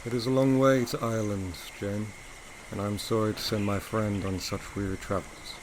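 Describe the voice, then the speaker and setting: Guy acting as brooding teasing gentleman in a gothic audio drama, kinda low voice, formal old-time talk, some background noise. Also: steady tone, normal speed, natural voice. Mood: tender, melancholy. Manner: formal, teasing, theatrical phrasing. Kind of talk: audio drama acting, period literary dialogue.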